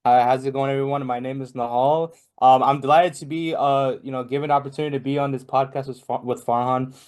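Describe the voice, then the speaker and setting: A man's voice: mid-range voice, fairly even intonation, fast, a little mellow. A young man from Bangladesh, a podcast guest in casual chat, rambling with many fillers and restarts. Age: young adult.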